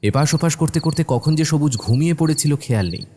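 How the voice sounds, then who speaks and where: A man's voice: fairly high pitch, fairly quick, background noise. A man narrating a scripted horror cartoon as a dramatic storyteller.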